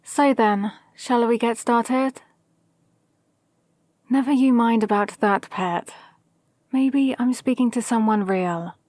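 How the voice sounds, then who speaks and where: A woman playing a calm, possibly mad villain sorceress in an ASMR roleplay, speaking to an apprentice in a measured, condescending, gently dominant way. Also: on the high side, dry recording. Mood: smug, coolly commanding. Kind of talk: ASMR roleplay with whispered asides. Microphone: close, dry microphone.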